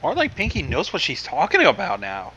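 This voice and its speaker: A livestream participant trading casual, excitable banter with friends. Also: medium pitch, brisk, slightly rough, highs cut off.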